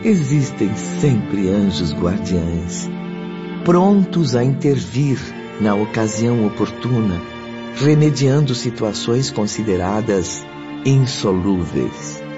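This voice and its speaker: An actor as the solemn, compassionate narrator of a radio drama, grave, formal and measured. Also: male voice, fairly low pitch, lively intonation. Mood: reverent. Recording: dull radio drama mix over music.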